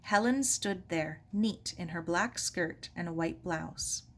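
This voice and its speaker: A woman reading a children's novel aloud as narrator, in a measured, expressive storytelling manner. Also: lowish voice. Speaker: story reader.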